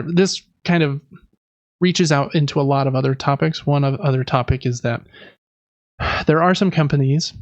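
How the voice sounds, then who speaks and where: Guy with kinda high voice chatting casually on a gaming podcast, dry n slightly muffled audio. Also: no room sound. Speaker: podcast co-host. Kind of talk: casual video game podcast chat.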